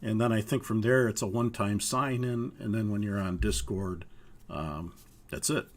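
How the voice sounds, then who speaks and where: An older man with a hoarse, raspy voice from a cold leads an online pilot course. His voice sits low and gravelly. He explains things haltingly and fills his pauses with "uh.". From Minnesota, US. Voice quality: gravelly, husky. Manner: halting, with frequent uhs. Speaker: flight training instructor. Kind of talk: live online course walkthrough.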